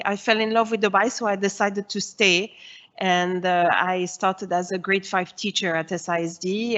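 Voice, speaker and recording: A woman's voice: mid-range voice, fairly bright tone, highs cut off. Woman school head addressing parents. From Switzerland. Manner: explanatory, reassuring, with frequent "uh" and "um". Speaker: head of early years at a school. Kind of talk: presentation to parents.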